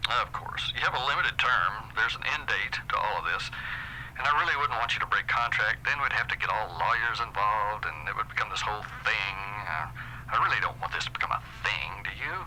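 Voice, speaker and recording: A smooth corporate boss with a very bright, sharp-edged voice. He talks down to people in a falsely friendly tone. A faint hiss sits under the audio drama recording. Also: fairly low pitch, animated, a little fast, low-quality recording. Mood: patronizing. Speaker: employer.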